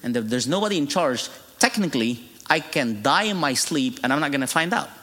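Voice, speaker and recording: A man's voice: medium pitch, expressive, fairly quick, sharp tone. A middle-aged man with an Egyptian accent, Arabic his first language, speaks from a church pulpit as a guest preacher. He tells his story with humor and often laughs at his own jokes. His mid-range voice rings out into a long, echoing hall. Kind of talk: sermon testimony.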